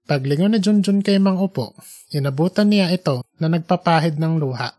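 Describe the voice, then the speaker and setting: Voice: mid-range voice, expressive, moderate pace, dark tone. An audiobook podcast narrator reads scripted fiction. The voice sits in a middle register and tells the story in prose.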